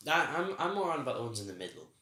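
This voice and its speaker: A man co-hosting a casual review podcast, enthusiastic and quick to laugh. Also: medium pitch, sing-song, sharp tone. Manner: enthusiastic, laughs often, acts out reactions. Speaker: podcast co-host. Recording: echoey room.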